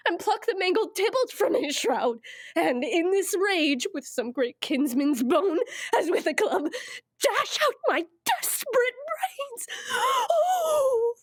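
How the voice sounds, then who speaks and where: A woman's voice: extremely high pitch, very animated, takes it slowly, no echo, exaggerated voice. A woman playing a frightened, desperate young girl in a classical Shakespeare play, anguished and theatrical. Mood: frantic, resolved. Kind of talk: classical Shakespearean stage acting.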